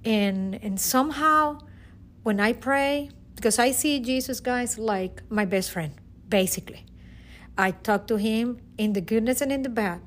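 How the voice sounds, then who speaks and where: A woman's voice: fairly high pitch, a little slow. A middle-aged woman from Venezuela with a Venezuelan Spanish accent, a teacher giving an earnest, emotional personal testimony with many restarts. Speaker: teacher and guest.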